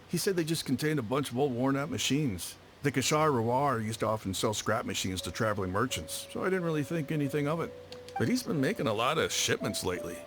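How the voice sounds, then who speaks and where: A man's voice: medium pitch, fairly bright tone, slight background noise, natural voice. A man playing a casual, helpful but slightly wary townsman in a video game.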